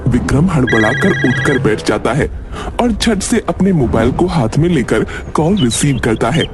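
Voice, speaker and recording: A man's voice: mid-range voice, big pitch swings, normal speed, over loud music. A man narrating a cartoon story from a script.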